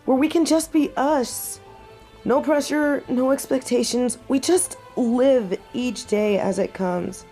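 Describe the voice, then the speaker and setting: A young woman playing a devoted half-wolf heroine in a romantic audio drama, speaking earnestly and tenderly with halting pauses, as if to one loved person. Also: high-pitched, warm tone, faint music behind. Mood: earnest, pleading. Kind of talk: romantic audio roleplay acting, intimate one-sided dialogue.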